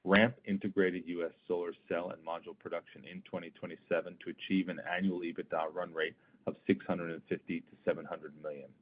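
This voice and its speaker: Deep voice ceo guy reading corporate remarks on a conference call, formal but emphatic, sounds like a phone. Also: little pitch movement, fast talker, dull audio. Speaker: company chief executive. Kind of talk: scripted earnings call remarks.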